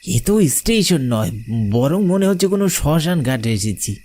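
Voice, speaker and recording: A man's voice: a little high, big pitch swings, moderate pace, a little mellow. A man voicing an uneasy, chatty young friend with a flair for exaggeration in a horror cartoon. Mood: spooked.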